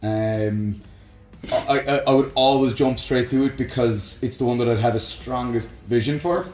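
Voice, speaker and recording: A man's voice: medium pitch, moderate pace, darkish tone, soft background music. Man chatting casually in a roomy space. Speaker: co-host of a film and games discussion show. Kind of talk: casual banter and opinionated chat. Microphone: close microphone on a stand.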